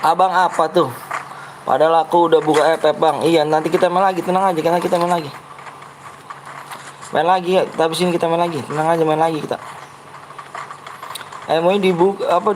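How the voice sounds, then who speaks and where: A man's voice: sits high, flat delivery, brisk, pauses often, noisy background. Young Betawi man, blunt live streamer. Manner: blunt, brash delivery he himself calls harsh-sounding; chatty, keeps coaxing viewers to like and subscribe. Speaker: game streamer. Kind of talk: live gaming stream chat.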